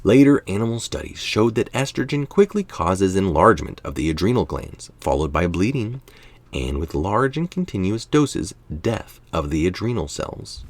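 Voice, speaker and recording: A man's voice: deep voice, gravelly. A man reading a scientific health article aloud, now and then adding a casual remark of his own.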